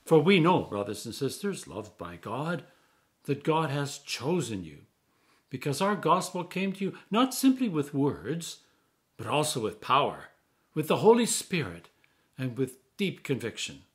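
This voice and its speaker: An older man reads scripture aloud in the manner of a church minister. His pace is slow and deliberate, while his pitch rises and falls widely to stress key words. The tone is solemn and measured. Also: very animated. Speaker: Anglican clergyman reading scripture. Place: small indoor room.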